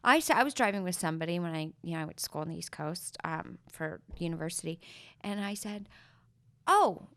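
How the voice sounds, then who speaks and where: A woman's voice: fairly low pitch, fairly quick, a bit bright, no echo. A woman podcast host from Denver, chatting casually in a bubbly, blunt way and swearing freely. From Denver, Colorado. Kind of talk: casual comedic chat.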